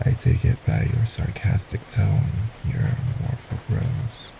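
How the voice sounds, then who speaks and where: A man voicing a polite, sinister male stranger in an audio roleplay, speaking formally and courteously with a soft, breathy menace. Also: fairly low pitch, noisy background, exaggerated voice.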